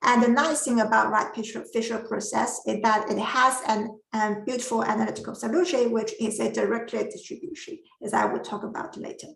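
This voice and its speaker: A woman whose first language is not English, giving an academic masterclass presentation with careful explanations and frequent pauses. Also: fairly high pitch, fairly even intonation, creaky, roomy sound, rough recording. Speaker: lecturer.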